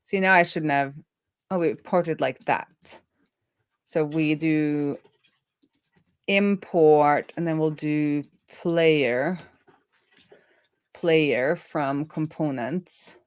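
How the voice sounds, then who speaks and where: A woman live-streaming as a programmer, thinking aloud in a casual, halting way as she mutters through her work. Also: low-pitched, no echo. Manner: halting, muttering to herself while working.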